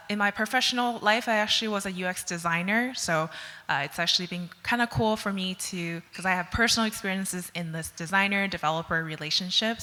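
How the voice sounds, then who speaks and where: A woman from Taiwan in her thirties gives a friendly conference talk, with a low but bright, forward voice. She fills pauses with "uh" as she walks through her slides. Her voice rings with the long reverb of a large hall. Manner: friendly, with frequent "uh" fillers. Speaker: product manager presenting at a tech conference.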